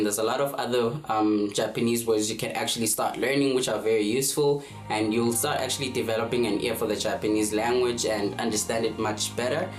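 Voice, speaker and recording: A man in his twenties from South Africa giving a casual beginner language lesson, easygoing, with frequent ums and fillers. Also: lowish voice. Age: 20s. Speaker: language tutor. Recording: roomy echo.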